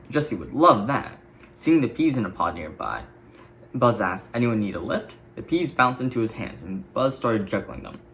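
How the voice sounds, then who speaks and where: A man's voice: medium pitch, a bit bright, slight background noise. A man reading a children's story as a scripted narration. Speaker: storybook reader.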